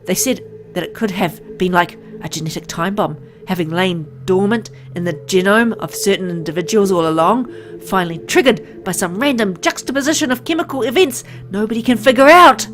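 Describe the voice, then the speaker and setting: A frank, secretive medical insider , acted out by a woman lays things out earnestly, as if in confidence. The voice is medium-pitched, in a visual novel read-aloud with music underneath. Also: a little fast, natural voice. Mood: grave, explanatory.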